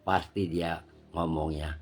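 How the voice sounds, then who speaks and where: A man from Indonesia with a husky voice, giving an impromptu political monologue in an emphatic, scolding, lecturing manner. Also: low-pitched, flat delivery, slow. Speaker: nationalist commentator.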